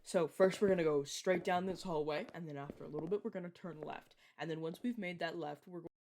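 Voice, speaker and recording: A woman's voice: fairly low pitch, fast, darkish tone, natural voice. A woman playing a casual, reassuring vampire guide in an audio roleplay, talking informally to the listener as a mentor to a newcomer. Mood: casual, distracted. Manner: chatty, informal, self-correcting, reassuring.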